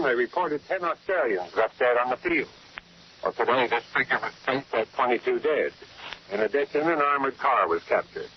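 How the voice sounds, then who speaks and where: Male 1940s radio correspondent, mid-pitched, formal and measured, dull vintage broadcast sound. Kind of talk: 1940s radio news report, scripted. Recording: muffled, noisy 1940s shortwave radio broadcast.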